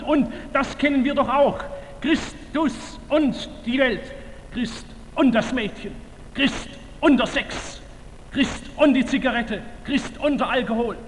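A man preaching a sermon to a large audience, slow and emphatic with fervent declamation and wry humor. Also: very high voice. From Württemberg, Germany. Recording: reverberant hall, faint ambience.

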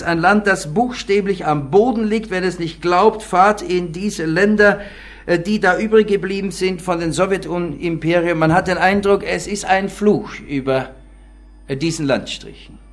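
A man preaches with earnest conviction as a guest speaker, his voice sitting a little higher than most men's. He builds his points steadily and stops to read press quotes aloud. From born in the city of the talk. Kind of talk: sermon.

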